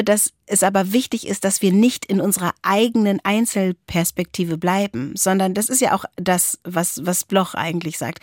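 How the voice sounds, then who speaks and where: A woman's voice: mid-range voice, a little mellow, dry recording, studio quality. A woman co-hosting a podcast, talking reflectively in a conversational discussion. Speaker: podcast co-host.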